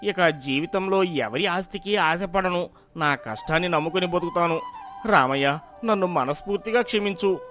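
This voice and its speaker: A man's voice: sits high, moderate pace, fairly bright tone, soft background music. A man playing a greedy, self-pitying village husband in a moral tale, with dramatic emotional delivery. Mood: remorseful.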